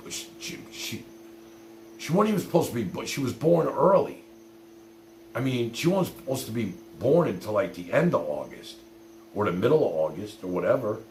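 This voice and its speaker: A man's voice: mid-range voice, creaky. A middle-aged man chatting casually on a livestream, telling personal stories in a blunt, rambling way with some swearing. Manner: blunt, rambling, peppered with swearing and "you know". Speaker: livestream host. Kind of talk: casual chat, personal storytelling to live viewers. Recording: roomy echo with faint hum and noise.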